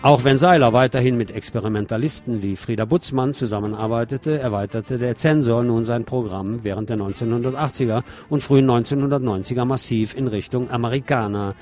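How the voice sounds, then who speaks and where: A man with a mid-pitched voice reads a prepared article aloud in the role of a radio host. His delivery is scripted narration, and music plays faintly behind him. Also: a little fast, few pauses, a little mellow.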